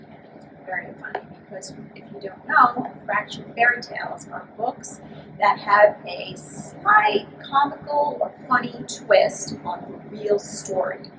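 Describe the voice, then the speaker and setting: Higher-voiced woman in an echoey room. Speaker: children's librarian. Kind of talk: explanatory book recommendation. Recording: roomy, distant mic, clear background noise.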